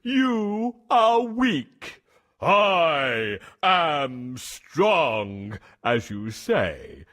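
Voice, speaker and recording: A man's voice: on the high side, very animated, drawn-out words, a bit bright, heavily acted. A man voicing a proud, boastful male lion king in a storybook. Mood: mocking. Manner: roaring, boastful.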